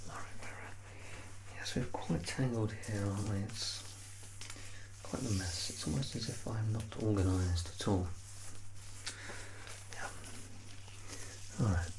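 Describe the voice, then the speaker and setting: An ASMR performer speaking in a soft, unhurried murmur that slips into breathy whispers, reassuring and gently playful in a personal-attention roleplay. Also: low voice, background noise. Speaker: ASMR performer playing a measurer.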